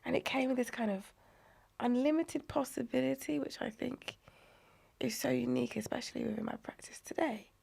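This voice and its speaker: Croaky, unwell woman. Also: high-pitched, fairly quick. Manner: animated storytelling, drops to breathy near-whispers. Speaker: guest, inclusive play advocate. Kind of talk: conversational podcast interview.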